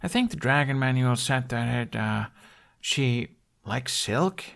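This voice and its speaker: A man's voice: mid-range voice, lively intonation, a little slow, vocal fry, a bit bright, voice pushed up. A man voicing an eager, curious boy who rambles awkwardly and hesitantly in an audiobook. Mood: rambling, puzzled.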